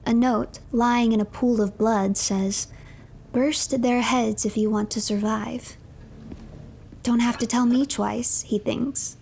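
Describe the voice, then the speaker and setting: Creaky-voiced woman narrating a game story. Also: on the high side, steady tone, slow pace, darkish tone, slight background noise, low-quality recording. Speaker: streamer narrating a game playthrough. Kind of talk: improvised third-person story narration over gameplay.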